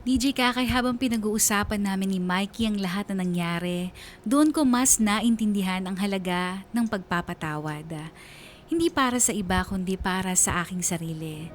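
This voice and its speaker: A woman playing a reflective letter sender, narrating a scripted life story earnestly and with measured delivery in a Filipino accent. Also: mid-range voice, faint music behind, natural voice. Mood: pained, reflective.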